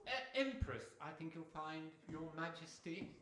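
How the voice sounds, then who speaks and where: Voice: medium pitch, lively intonation, unhurried, a bit bright. A performer playing a deferential, pedantic Royal Chamberlain who hesitates and politely corrects, in a live radio play. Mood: politely correcting. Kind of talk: live radio theatre acting. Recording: distant mic in an echoey hall.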